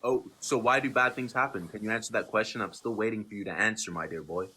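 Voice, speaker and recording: A man's voice: on the low side, fast, sharp tone, a little hiss or hum, rough recording. A man hosting a live-stream debate, grilling callers with repeated questions in a condescending tone. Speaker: debate host.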